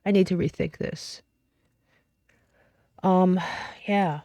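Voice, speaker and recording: A woman's voice: lowish voice, fairly even intonation, slow pace, warm tone, no room sound. A woman giving a casual, conversational tarot reading in a direct, informal, slightly salty manner. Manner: direct, informal, mildly salty, frequent check-in questions. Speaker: tarot reader and astrology host.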